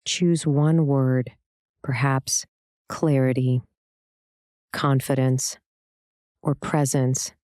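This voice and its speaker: A meditation instructor speaks in a smooth, clear voice with a dark, relaxed tone. The delivery is gentle and soothing, with long pauses between phrases. The sound is dry, with no room around it. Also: mid-range voice, no echo. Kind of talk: guided meditation, scripted.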